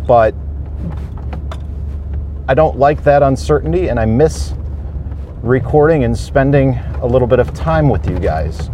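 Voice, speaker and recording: A man hosting a homestead podcast, talking thoughtfully with pauses and fillers. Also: mid-range voice, expressive, warm tone, slight background noise. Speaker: podcast host. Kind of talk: casual solo podcast talk. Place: on a homestead farm.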